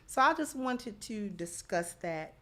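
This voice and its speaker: A woman's voice: medium pitch, animated, a little slow, bright, forceful tone. A woman hosting a livestream, chatting casually and giving commentary to her supporters. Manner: effusive in thanking supporters. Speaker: livestream host.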